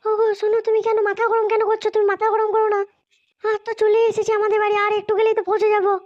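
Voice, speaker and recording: Very high, even-toned cartoon housewife played by a woman, placating yet quick to grumble, talking fast. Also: muffled recording. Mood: soothing. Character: placating village wife and mother, soothing but quick to complain. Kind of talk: comedic cartoon dubbing.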